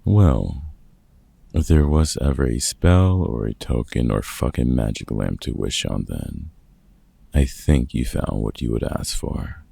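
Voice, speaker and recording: Man playing very deep, gravelly, kindly vampire hunter. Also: expressive, dark tone, dry recording, full character voice. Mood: warm, wry. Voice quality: gravelly, husky. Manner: gruff, sweary, casual mentor talk with pauses. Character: gruff, kind-hearted veteran male vampire hunter and woodworker. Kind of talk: comfort ASMR audio roleplay.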